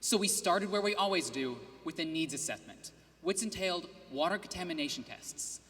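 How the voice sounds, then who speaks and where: A man giving a rehearsed student team presentation in a steady, scripted way. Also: high voice, normal speed, long reverb tail.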